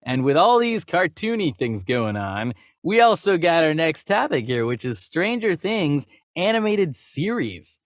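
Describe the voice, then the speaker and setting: A man's voice: a little high, sing-song, a little slow, hardly any pauses, no room sound, clean studio sound. A man co-hosting a movie news podcast, talking casually and conversationally, sometimes reading news items aloud. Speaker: podcast co-host and voice actor.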